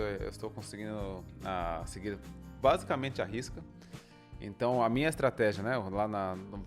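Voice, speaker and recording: A young man with a Brazilian Portuguese accent, chatting casually as co-host and explaining his study method at length, with pauses and restarts. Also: lowish voice. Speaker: co-host, language learner. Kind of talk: casual chat.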